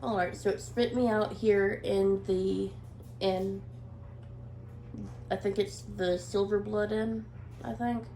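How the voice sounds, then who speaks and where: Nasal stuffy-voiced woman with a gravelly edge. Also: mid-range voice, takes it slowly, long pauses, slight background noise. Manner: relaxed, hesitant with many ums. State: nasal from a head cold. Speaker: gameplay commentator. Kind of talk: casual let's play commentary. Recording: echoey room with faint ambience.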